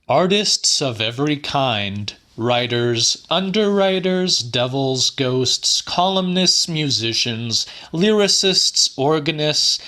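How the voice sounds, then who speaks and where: Male audiobook narrator, slow pace, bright forceful voice, theatrical literary reading. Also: mid-range voice. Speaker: audiobook reader.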